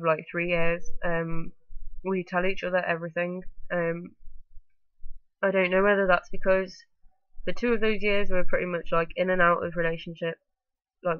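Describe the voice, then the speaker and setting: A woman with a blocked-up nose from a cold chats to her camera in a loose, rambling way, filling pauses with ums and likes. Her delivery stays fairly flat and even. The sound is dry and a little dull, like a cheap webcam mic. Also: on the low side, no room sound. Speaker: vlogger. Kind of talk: casual talking-to-camera vlog.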